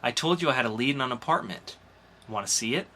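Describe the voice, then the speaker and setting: A man acts out a cool, teasing young woman in a comic book reading. The delivery is coy and casually sarcastic, in a bright, forceful voice. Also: medium pitch, animated, fast.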